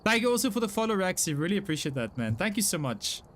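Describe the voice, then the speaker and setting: Chatty South African streamer, Afrikaans English accent. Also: male voice, high voice, big pitch swings, fast talker, a bit bright, a little hiss or hum. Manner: chatty, apologetic, frequent self-repeats and "like" fillers. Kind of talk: casual live-stream chat reading chat messages.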